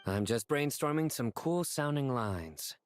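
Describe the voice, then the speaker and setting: A man voicing an earnest, theatrical joker in a video game, speaking in a measured, formal and self-satisfied way. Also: mid-range voice, expressive, faint music behind, lightly acted. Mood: composed.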